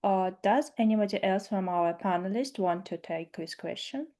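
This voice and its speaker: A woman's voice: medium pitch, normal speed, dark tone. A woman moderating an online panel, brief and businesslike. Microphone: video call.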